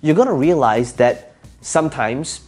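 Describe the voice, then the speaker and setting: Husky-voiced man giving punchy motivational advice. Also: on the high side, expressive, a little slow, darkish tone, faint music behind. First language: Cantonese. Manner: emphatic and punchy, rhetorical questions, repeats key phrases. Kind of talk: motivational talk to camera.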